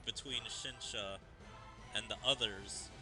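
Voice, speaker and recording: A man's voice: lowish voice, slow pace, music in the background. A man giving casual commentary as the host of a gaming video.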